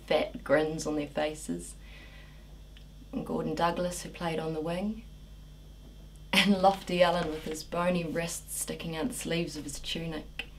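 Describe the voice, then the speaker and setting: A woman performing a dramatic monologue as a woman mourning the young men sent to war, slow, bitter and lyrical. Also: low-pitched, recorded from a distance, a little hiss or hum, voice pushed down, rough recording.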